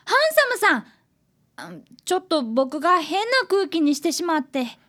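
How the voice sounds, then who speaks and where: A woman voices a schoolboy apprentice detective for a radio drama, with a very high, lively voice. He is earnest and unfailingly polite, quick to apologize. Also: full character voice. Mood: polite, hesitant. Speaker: narrator and character in a radio drama. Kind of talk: Japanese radio drama acting.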